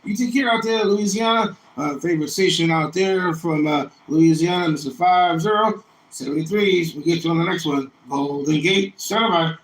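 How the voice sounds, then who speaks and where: A friendly male radio operator with a slightly high voice makes drawn-out calls and chats casually on air. He sounds far from the mic, with very loud radio noise around him. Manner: friendly, drawn-out repeated call phrases. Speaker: amateur radio operator calling for contacts. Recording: noisy, echoey, distant radio room with static. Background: radio noise and clicks.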